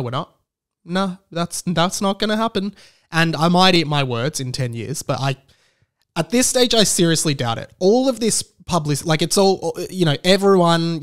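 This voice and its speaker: A man's voice: a little high, clear, resonant tone. A young Australian man hosting a podcast in a casual, opinionated rant, making his points emphatically and animatedly. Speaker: podcast host.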